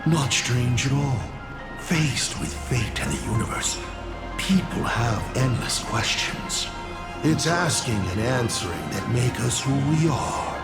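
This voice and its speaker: Casting: cunning, amused game villain lord; gravelly, hugely animated swings of pitch, grandiose and teasing. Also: male voice. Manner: theatrical, teasing, deliberate. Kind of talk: dramatic video game voice acting. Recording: echoing voice with music underneath. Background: music.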